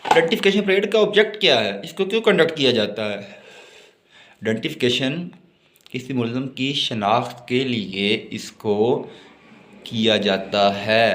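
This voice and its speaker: Slow-paced Pakistani male law lecturer. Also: medium pitch, a bit bright. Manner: didactic, alternates slow reading with explanation. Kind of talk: explanatory lecture, reading notes aloud and explaining.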